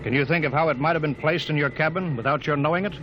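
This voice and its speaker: A man's voice: on the high side, fast talker, natural voice. A man playing a stern spaceship captain. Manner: clipped orders, turning to urgent shouting. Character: stern male spaceship captain, commanding and blunt. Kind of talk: 1950s radio drama acting. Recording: very noisy old radio broadcast.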